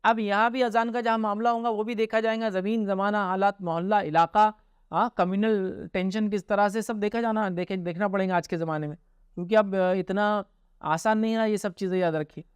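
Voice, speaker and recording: A man's voice: sits high, steady tone, a little fast, clean tone, no room sound. A man with an Indian accent answering religious questions informally as a scholar, explaining and often checking that listeners follow.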